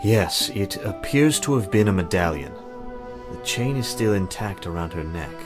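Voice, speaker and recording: A man acts out a brooding visual novel hero with a low voice. He speaks solemnly and formally, protective and full of regret. Music plays clearly behind him. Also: lively intonation, moderate pace. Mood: grave. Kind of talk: visual novel dialogue read aloud.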